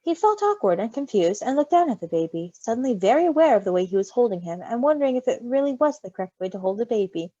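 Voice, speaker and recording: A woman reading a story in an animated way, with giggly, casual asides. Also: on the high side, fast, few pauses, no echo. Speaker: story reader. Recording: slightly dull, close dry recording.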